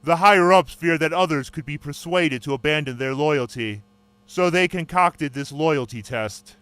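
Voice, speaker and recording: A man voicing an unmasked male agency interrogator, flat and matter-of-fact. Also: fairly high pitch, moderate pace, smooth, lightly acted. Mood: cold.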